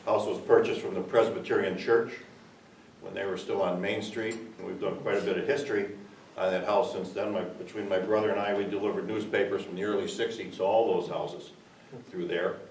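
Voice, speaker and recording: A retired local man stands to address a village board, loud and earnest, wandering through his memories with plenty of fillers. His low voice comes from well off the mic in a hollow, echoing hall with a faint hiss behind. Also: little pitch movement, fairly quick, far from the mic. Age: older. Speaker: resident giving public comment. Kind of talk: public comment at a village meeting. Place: village meeting room. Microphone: far room mic.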